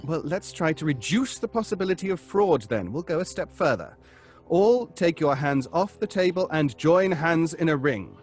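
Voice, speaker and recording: An actor playing an earnest, anxious séance leader in an old-style radio horror drama, formal and hesitant. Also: male voice, fairly high pitch, lively intonation, normal speed, darkish tone, breathy edge, soft background music.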